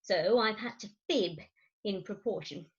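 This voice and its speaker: A woman's voice: medium pitch, unhurried, breathy edge, dull audio. A woman playing a fretful, theatrical middle-aged Victorian wife in a stage farce, confiding her woes emphatically over a video call. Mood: flustered confession. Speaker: actor in an amateur staged reading.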